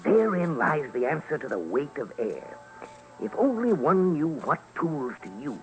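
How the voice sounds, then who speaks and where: A man playing a learned, patient Italian professor in a vintage radio drama, speaking deliberately like a teacher. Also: a little high, very animated, low-quality recording. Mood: pondering, instructive. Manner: slow, deliberate, declaiming like a teacher. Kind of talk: 1950s radio drama acting. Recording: vintage radio broadcast, music behind.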